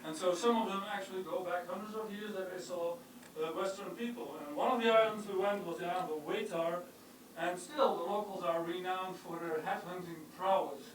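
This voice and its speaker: A man's voice: sits high, a little fast, a crowd in the background. An older man with a Dutch accent gives an informal public lecture on his research, with wry, self-deprecating jokes and hesitant fillers. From Netherlands. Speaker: university geochemistry professor giving a public talk. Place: lecture hall with an audience. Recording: echoey hall, mic far off, audible room noise.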